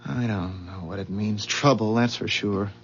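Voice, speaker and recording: A man's voice: on the low side, moderate pace, husky. An American actor playing an uneasy, earnest husband and company man in a 1970s radio drama. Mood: weary, worried. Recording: muffled, noisy vintage radio broadcast.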